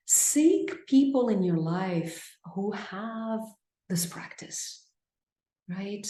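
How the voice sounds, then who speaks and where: A woman with a Brazilian accent leading a mindfulness class on a video call, gentle and affirming. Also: mid-range voice, echoey room, rough recording. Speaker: mindfulness teacher. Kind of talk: live online class, reading chat responses.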